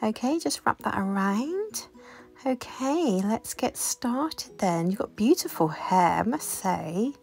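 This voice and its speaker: A woman's voice: a little high, very animated, slow, vocal fry, darkish tone, husky, full character voice. A woman playing a friendly, gushing doll hairdresser in an improvised doll story, chatting and reassuring her clients. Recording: music behind the voice.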